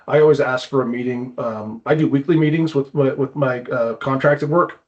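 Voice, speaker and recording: A man's voice: mid-range voice, steady tone, moderate pace, a little mellow. A man talking casually as a consultant and guest, explaining things in a conversational way. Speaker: climbing wall consultant, guest. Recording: dull, lo-fi audio.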